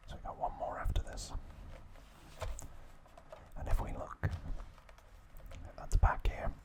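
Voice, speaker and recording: Slow, whispering man, ASMR. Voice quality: breathy, unvoiced whisper. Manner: slow, hushed, halting delivery with short phrases. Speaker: ASMR video host. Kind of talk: ASMR whispering, relaxed trivia narration.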